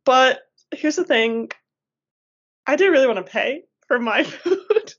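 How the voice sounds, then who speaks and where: A woman's voice: sits high, animated, slow, breathy edge, muffled recording. A woman co-hosting a podcast in casual chat, playful and slangy, often laughing. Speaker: podcast co-host.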